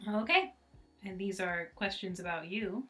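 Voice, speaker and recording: A woman's voice: mid-range voice, unhurried, a bit bright. A woman reading out questions as a co-host, with a casual, teasing and informal way of talking. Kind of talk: casual playful banter.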